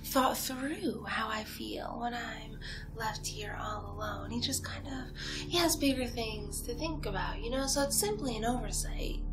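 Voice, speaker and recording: A woman playing a playful, lonely, possessive young villainess kidnapper in an ASMR roleplay, murmuring coyly and slipping into breathy whispers. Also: fairly high pitch. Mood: coaxing, possessive. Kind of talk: ASMR roleplay, soft talk and whispering to the listener.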